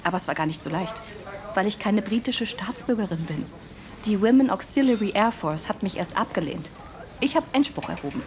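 A woman's voice: medium pitch, lively intonation, fairly quick, slight background noise, natural voice. An actress playing an earnest, polite young woman in the wartime resistance, chatty and eager, in a naturalistic radio drama. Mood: happy, eager.

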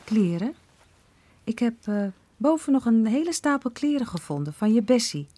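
Motherly middle-aged village woman, mellow, breathy voice. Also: a little high, sing-song, normal speed, no room sound. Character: warm fussing mother Marietje, middle-aged.

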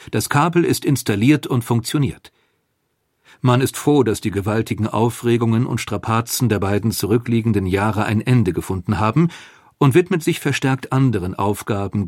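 A man narrating a historical audio documentary from a script, in a measured, articulate way. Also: medium pitch, a little mellow, dry recording, studio quality.

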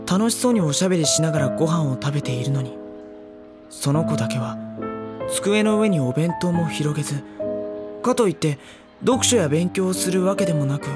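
An actress playing a mild, polite teenage schoolboy who also narrates in a drama CD. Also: female voice, sits low. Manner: polite, flustered. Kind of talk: first-person narration and dialogue.

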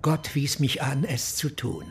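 An actor playing a docile preacher confessing to a killing in a hoarse, breathy voice for radio drama. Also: male voice, mid-range voice, animated, a little slow, a little hiss or hum. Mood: docile, subdued. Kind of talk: German radio crime drama acting.

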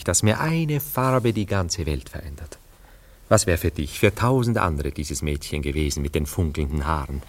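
An actor playing a dreamy, self-absorbed young romantic in a German radio play, speaking in a wistful, lyrical, theatrical way with hesitant pauses. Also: male voice, extremely low pitch, heavily acted. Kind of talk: German radio play acting, literary dialogue.

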